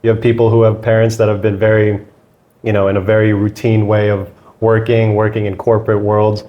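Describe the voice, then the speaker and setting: A man's voice: lowish voice, completely flat delivery, brisk, lo-fi sound. A man in his twenties from Thailand, a podcast guest, talking casually with many fillers and restarts. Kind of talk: casual interview talk.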